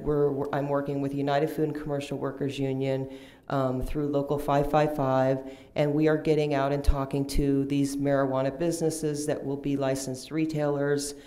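A woman in her fifties, a union lobbyist, giving confident but halting testimony with many pauses at a county meeting through a table microphone. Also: rumbling low, dark tone. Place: county commission hearing room, indoors. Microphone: table microphone, seated.

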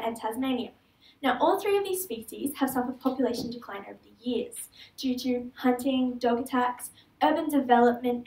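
A fifteen-year-old Australian girl giving an earnest prepared stage talk, with small slips and restarts, on a large auditorium stage. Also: high voice. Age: teenager. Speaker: student speaker. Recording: echoey hall, heard from far off.

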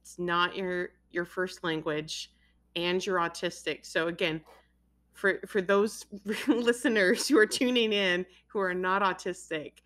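A woman hosts an interview with a slightly low voice. She talks in long, eager runs when she explains an idea. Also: steady tone, a little slow, a bit bright. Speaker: interviewer, host. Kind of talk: casual interview chat.

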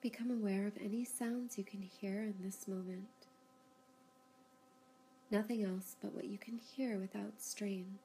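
A woman guiding a yoga nidra relaxation, giving calm, unhurried, soothing instructions with pauses. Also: medium pitch, a little hiss or hum. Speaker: yoga nidra meditation guide. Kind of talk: guided relaxation meditation, slow instructions.

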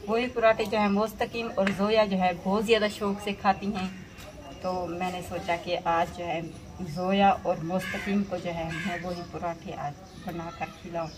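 A woman's voice: lowish voice, flat delivery, normal speed. A young woman from Pakistan talking viewers through her cooking in a casual vlog, explaining each step. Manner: chatty, explains each step to viewers, heavy use of filler phrases. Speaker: village life vlogger. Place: outdoor village courtyard. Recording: outdoor camera audio with clear background noise. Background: outdoor ambience with birds and animals.

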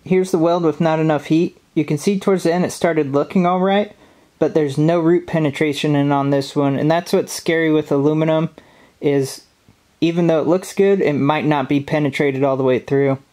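A man in his thirties calmly narrating welding footage, explaining step by step what he is doing. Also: a little high, moderate pace. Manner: calm, step-by-step technical explanation. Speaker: welding instructor. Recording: voice-over with loud welding arc hum behind.

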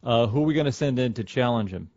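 Male co-host, playful and relaxed, dry slightly dull sound. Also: medium pitch, fast, no echo. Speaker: podcast co-host. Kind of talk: casual chat.

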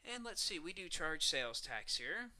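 A man's voice: on the high side, very animated, normal speed, sharp tone. A man narrating a screencast walkthrough, explaining each step in a casual, semi-scripted way. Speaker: software tutorial presenter.

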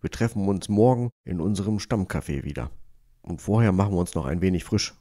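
A man playing a pragmatic cartoon musician leading a quest, matter-of-fact and deliberate. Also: deep voice, mellow, lightly acted.

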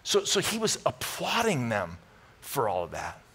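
A middle-aged American man preaching a conversational sermon, emphatic and animated, moving between loud bursts and hushed asides. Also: mid-range voice, a little slow, creaky, breathy edge. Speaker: pastor preaching. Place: large church auditorium stage with audience.

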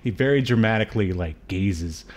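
A man's voice: on the low side, lively intonation, a little fast. A man in his late thirties co-hosting a casual, joking film discussion, often stopping and restarting his sentences. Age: late 30s. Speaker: podcast co-host. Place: home room on a video call.

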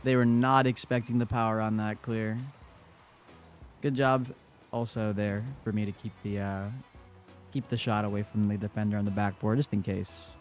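A man's voice: on the low side, level tone, normal speed, faint music behind. Congested young man calling his own plays. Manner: laid-back, self-coaching play-by-play. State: congested from a cold. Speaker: gaming YouTuber. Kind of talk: casual gameplay commentary.

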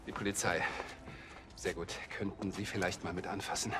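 An actor playing an anxious, flustered, hesitant church cantor in a TV crime comedy. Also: male voice, low-pitched, flat delivery, normal speed, close to an everyday voice. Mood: flustered, worried. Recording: music or organ behind.

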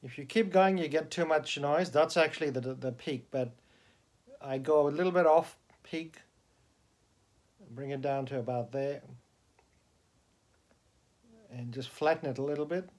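A man giving a casual, deliberate hands-on explanation as a radio hobbyist, recorded indoors on a handheld camera. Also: on the high side, darkish tone. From Australia. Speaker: hobbyist radio enthusiast demonstrating a homemade antenna. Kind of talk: casual video demonstration. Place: indoors in a bedroom. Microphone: handheld camera, held by the speaker.

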